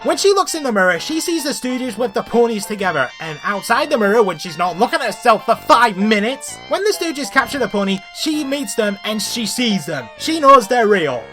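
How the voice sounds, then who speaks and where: A woman's voice: a little high, very animated, normal speed, hardly any pauses, fairly bright tone, faint music behind. A woman hosting a scripted comedic review, blunt and sweary, with an exasperated, ranting manner. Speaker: host of a cartoon review show.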